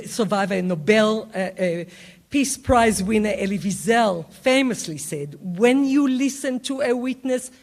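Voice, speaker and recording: A woman with a fairly high voice speaks slowly at a public event. Her pitch rises and falls a great deal as she delivers her earnest, measured talk, pausing between phrases.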